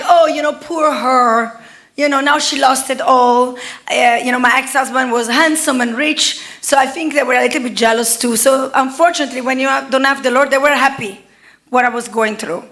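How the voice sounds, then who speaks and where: A woman in her forties from Italy with an Italian accent, giving a testimony sermon at a church service in lively storytelling fashion and laughing often. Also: high voice. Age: 40s. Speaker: guest preacher.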